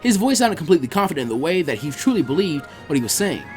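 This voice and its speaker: A man narrates a story with very lively intonation. His pace is quick and his delivery expressive. Faint music plays behind his voice. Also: fairly high pitch. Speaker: fan fiction narrator.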